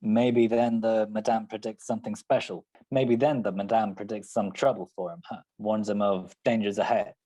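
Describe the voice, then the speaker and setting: A husky-voiced hard-boiled detective acted by a man from a 1940s radio mystery. He is wry and unflappable, dropping terse, sardonic lines like a tough guy who has seen it all. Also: lowish voice, steady tone, moderate pace, few pauses, dark tone, lightly acted. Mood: accusing, cool. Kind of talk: old-time radio detective drama.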